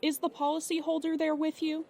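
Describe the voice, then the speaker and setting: A customer service agent in an audio drama with a notably high voice. She is formal, polite and unflappable, measuring out each phrase as if following procedure. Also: monotone, fairly quick, gravelly, darkish tone. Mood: courteous. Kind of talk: audio drama acting, scripted phone service.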